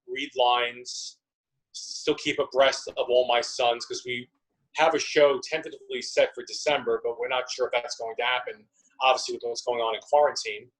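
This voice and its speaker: A man speaking as a guest in a casual interview, explaining things in a halting, measured way. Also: medium pitch, steady tone, a bit bright, low-quality recording. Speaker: interview guest, business manager.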